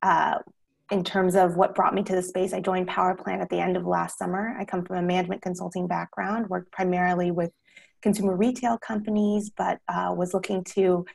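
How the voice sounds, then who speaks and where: A woman's voice: on the low side, level tone, fairly quick, creaky, darkish tone, slightly rough. A young American woman introducing herself as an investor on a panel. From Texas, United States. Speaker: panelist, growth equity investor.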